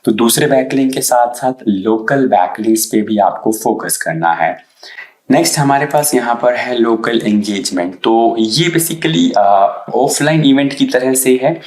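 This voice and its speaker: A man's voice: mid-range voice, expressive, unhurried, darkish tone. A man with a sore throat explaining an SEO tutorial in a casual, hesitant way, mixing in English terms. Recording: echoey room.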